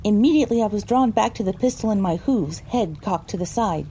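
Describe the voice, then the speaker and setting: A woman's voice: medium pitch, animated, brisk, dark tone, slight background noise, lightly acted. A woman voicing a pony who narrates her own story, reading scripted first-person audio drama narration. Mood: measured.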